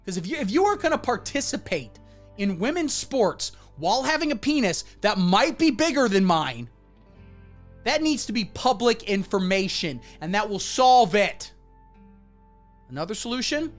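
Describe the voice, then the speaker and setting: A man's voice: high-pitched, big pitch swings, normal speed. A man hosting a comedy livestream, playing an over-the-top outraged pundit in a satirical rant. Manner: loud mock-outraged ranting, then muttering asides while browsing. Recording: faint music behind.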